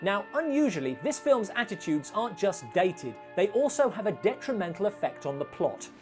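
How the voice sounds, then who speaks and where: A woman's voice: mid-range voice, sing-song, normal speed, loud music behind the voice. A woman narrating a scripted film review in a dry, sarcastic manner.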